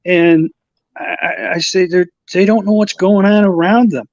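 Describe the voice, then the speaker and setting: A man with a slight Chicago accent, a guest co-host who talks haltingly with many uhs and restarts. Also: high-pitched, fairly even intonation, vocal fry, darkish tone, no echo, dull audio. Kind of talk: casual conversation.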